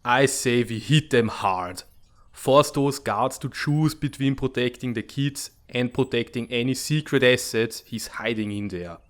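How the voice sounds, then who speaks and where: A man's voice: medium pitch, unhurried, natural voice. A man playing a pragmatic, impatient military strategist with a blunt, matter-of-fact manner in a comic fandub. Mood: decisive. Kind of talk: dramatic comic fandub acting.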